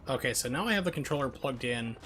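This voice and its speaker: A man's voice: mid-range voice, animated, quick pace, a bit bright, a little hiss or hum. A man casually commentating on his live gameplay, with playful, rambling asides. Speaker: video game streamer. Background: video game sounds.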